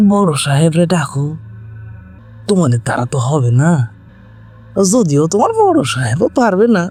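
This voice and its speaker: A male actor plays a sinister old tantric ascetic from an audio drama. He taunts in coarse rustic speech, his fairly high voice swinging up and down over faint music. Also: mellow. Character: menacing old male tantric holy man, foul-mouthed, taunting. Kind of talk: Bengali audio drama acting.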